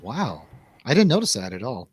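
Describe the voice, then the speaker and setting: A man with a middling pitch hosts a casual radio review segment. He talks in an informal, joking way. His delivery is unscripted banter, like chatting with friends. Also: very animated, normal speed, breathy edge. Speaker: radio show host and DJ.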